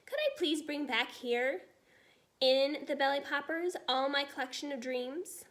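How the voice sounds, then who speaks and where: A gentle, homespun storybook giant played by a woman reader, high-voiced and very animated. He tangles his grammar and sprinkles his talk with made-up words. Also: unhurried, heavily acted. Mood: earnest. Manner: muddled grammar and invented nonsense words.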